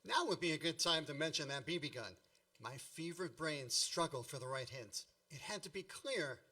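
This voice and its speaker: A man performs a wry storyteller looking back on boyhood in a radio play. The voice is fairly high and bright, relishing mock-epic phrasing. It sits slightly away from the mic. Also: animated, moderate pace, recorded from a distance. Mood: wry scheming. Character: grown man wryly recalling his boyhood. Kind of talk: scripted radio play narration.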